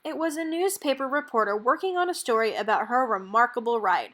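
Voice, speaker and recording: A woman reading a children's picture book aloud in an expressive, measured storytelling manner. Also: on the high side. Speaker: storybook reader.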